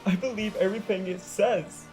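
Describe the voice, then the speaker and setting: A woman's voice: mid-range voice, fairly even intonation, moderate pace, a little mellow, faint music behind, voice pushed up, lightly acted, rough recording. A narrator voicing a guilt-ridden teenage girl who wails through choked sobs. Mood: anguished.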